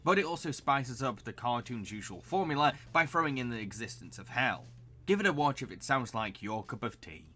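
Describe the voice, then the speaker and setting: A man hosts a scripted cartoon recommendation video in a bright, forceful voice. He delivers it as narration, with light music underneath. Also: medium pitch. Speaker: cartoon reviewer and host.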